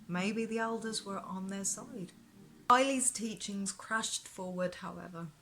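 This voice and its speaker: A woman author reading her own fantasy novel aloud as expressive audiobook narration. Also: mid-range voice, a little slow, a little hiss or hum. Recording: prominent music behind the narration. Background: music.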